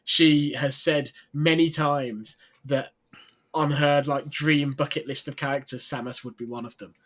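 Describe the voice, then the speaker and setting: A man's voice: fairly high pitch, fairly even intonation, a little slow, fairly bright tone. A man chatting eagerly on a video call, rambling and trailing off as he talks. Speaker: games journalist, panelist. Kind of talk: casual video call chat.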